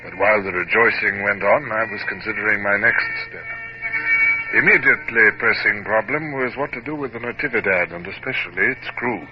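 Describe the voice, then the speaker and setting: An actor playing a commanding, exacting naval captain, narrating formally and precisely in an old-time radio drama. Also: male voice, lowish voice, expressive, fairly quick, music in the background, close to an everyday voice. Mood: grave, dramatic. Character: commanding, exacting British naval captain recounting his battles. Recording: dull, very noisy vintage radio recording.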